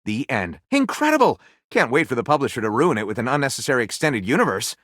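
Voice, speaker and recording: A man's voice: medium pitch, sing-song, fast talker, a bit bright, no echo, clean studio sound. A man voicing a theatrical, wry storyteller and snide critic in a video game, mock-grandiose and sardonic, as if narrating a storybook. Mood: gushing, excited. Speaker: voice actor.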